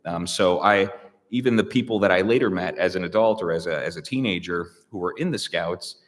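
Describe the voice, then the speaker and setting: Low-voiced thoughtful man in a hall. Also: brisk. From United States. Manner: thoughtful, hesitant, many fillers and restarts. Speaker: guest speaker. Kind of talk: reflective conversational interview. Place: public hall. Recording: long reverb of a large room.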